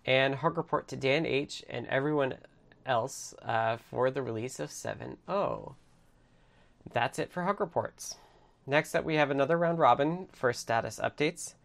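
Creaky-voiced man hosting a team meeting. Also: mid-range voice. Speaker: meeting host. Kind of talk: casual online team meeting updates, partly reading notes aloud.

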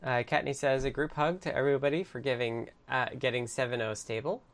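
A man's voice: mid-range voice, fairly even intonation, a little fast. A man hosting a casual online team meeting, passing the turn along and giving updates, sometimes reading from notes. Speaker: meeting host.

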